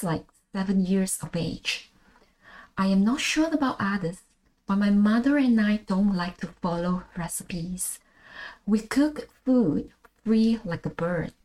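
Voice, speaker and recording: Malaysian-accented woman, mellow voice. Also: medium pitch, rough recording. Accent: Malaysian English. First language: Bahasa Malaysia. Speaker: podcast host. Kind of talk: scripted solo podcast monologue.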